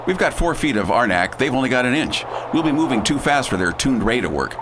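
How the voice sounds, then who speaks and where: A man's voice: big pitch swings, fast talker, close to an everyday voice. A man playing a confident, folksy space captain in a radio play, slangy and emphatic. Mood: urgent, confident. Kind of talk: amateur radio theater acting. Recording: noisy, constant rumble behind.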